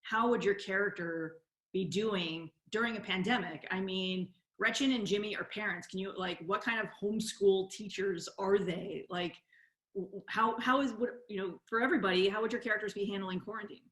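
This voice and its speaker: A woman hosts a live panel question session. Her pitch is average and the room echoes around her. She rewords her questions as she goes, in a conversational way. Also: moderate pace, fairly bright tone. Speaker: moderator. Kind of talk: live cast Q&A hosting. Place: indoor room, on a video call. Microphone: video call. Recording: roomy video call audio.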